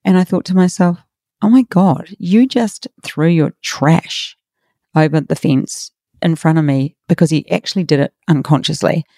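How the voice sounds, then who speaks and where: Raspy middle-aged woman giving encouragement. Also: low-pitched, moderate pace, mellow, dry recording, clean studio sound. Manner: earnest, encouraging. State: hoarse from a workshop. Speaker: podcast host and coach. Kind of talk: motivational podcast monologue.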